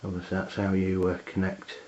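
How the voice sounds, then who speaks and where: A man with an English accent and a very low voice talks through a piece of kit as a casual reviewer. His delivery is flat, halting and matter-of-fact. The sound is dull and lo-fi. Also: slight background noise. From England. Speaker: amateur radio reviewer. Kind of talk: casual hands-on product review.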